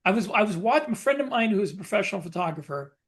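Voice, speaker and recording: A man chatting casually as a podcast co-host, explaining things in a halting way. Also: high voice, lively intonation, fairly bright tone, slightly rough.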